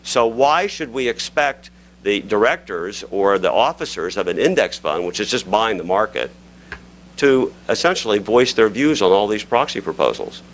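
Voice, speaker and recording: Low-voiced man, animated and argumentative. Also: moderate pace, poor audio. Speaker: panel discussant posing questions. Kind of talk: extemporaneous conference remarks. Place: live conference session. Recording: noticeable background noise, live event.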